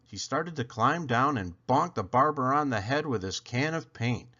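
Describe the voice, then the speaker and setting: A man reading a children's picture book aloud, expressively and with dramatic pauses. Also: mid-range voice, lively intonation, sharp tone, highs cut off. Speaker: read-aloud storyteller.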